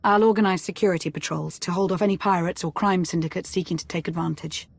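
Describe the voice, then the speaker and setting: An actress playing a composed, shrewd military adviser in a video game, firm and controlled. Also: female voice, fairly low pitch, fairly even intonation, fast talker, creaky, breathy edge, no room sound. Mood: serious.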